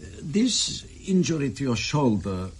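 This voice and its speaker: A man's voice: mid-range voice, very animated, unhurried, husky. An actor playing a sadistic, coolly courteous Soviet doctor who lectures his victim with precise, menacing politeness in a radio drama.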